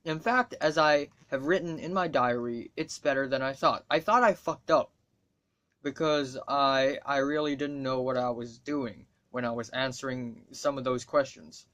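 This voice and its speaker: A man's voice: mid-range voice, normal speed, fairly bright tone, dull audio. A teenage boy from Hong Kong whose first language is Cantonese talks casually to camera, rambling, swearing freely and making wry asides. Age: teens. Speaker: student vlogger.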